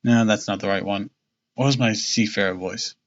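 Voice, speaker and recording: Male streamer for live book narration, lowish pitch, informal and stumbling, slightly dull mic sound. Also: steady tone, normal speed. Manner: stumbles and rereads, jokey asides. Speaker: streamer reading a novel aloud. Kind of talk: casual live storybook narration.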